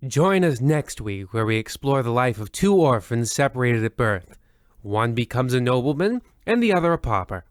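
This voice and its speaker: A man playing a smug, mock-solemn radio anthology host, moralizing in a pompous deadpan. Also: medium pitch, normal speed, dry recording, studio quality, lightly acted. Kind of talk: scripted old-time radio narration.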